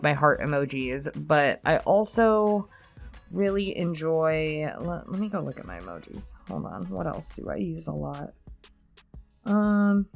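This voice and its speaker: Low-voiced, animated woman chatting casually. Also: vocal fry. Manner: candid, self-deprecating, freely swearing. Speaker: online creator answering subscriber questions. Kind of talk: casual Q&A chat.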